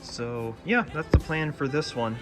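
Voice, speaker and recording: A man's voice: mid-range voice, animated, measured pace, music in the background. A man talking casually through a hands-on DIY electronics project as he presents it.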